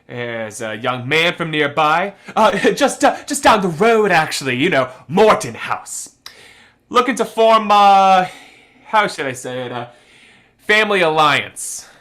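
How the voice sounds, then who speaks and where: Man acting a wry policeman, lively swooping delivery, bright voice, brisk, emphatic, radio mystery. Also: on the high side, heavily acted. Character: brisk, wry policeman briefing an inspector on suspects.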